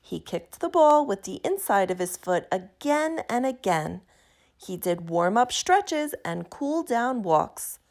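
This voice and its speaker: A woman reading a children's picture book aloud in an expressive, deliberate, emphatic way. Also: on the high side. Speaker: storybook reader.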